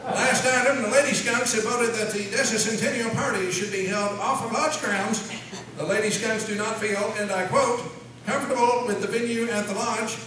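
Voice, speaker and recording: Man playing a high-voiced, fussy lodge secretary. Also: fairly even intonation, moderate pace, crowd noise, close to an everyday voice. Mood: officious. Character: eager, chatty lodge secretary reading the minutes. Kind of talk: live comedy radio-show sketch acting. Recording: echoey hall, distant mic, faint noise.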